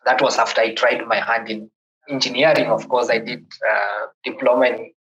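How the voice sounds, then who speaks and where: A man's voice: mid-range voice, fairly even intonation, normal speed, fairly bright tone, dull audio, slight background noise. A man from Kenya, with a Kenyan accent, in his thirties, telling a podcast host his life story in a reflective way and often repeating words as he begins sentences. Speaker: guest.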